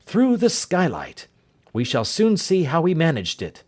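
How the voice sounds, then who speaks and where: A man voicing a sharp-minded male detective who speaks crisply and decisively. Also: a little high, wildly swooping pitch, measured pace, pitched above the performer's own voice, full character voice. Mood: urgent.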